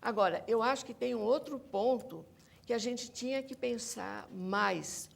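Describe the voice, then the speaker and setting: A woman's voice: fairly high pitch, unhurried, creaky. A Brazilian woman speaking emphatically as an academic guest in a panel discussion, insisting on her points and repeating them. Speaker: academic guest, researcher and author.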